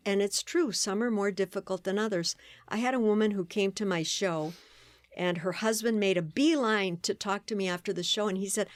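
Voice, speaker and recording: A woman guest on a podcast interview, telling stories in an animated way and laughing often. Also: medium pitch, normal speed, no echo. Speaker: guest, playwright and performer.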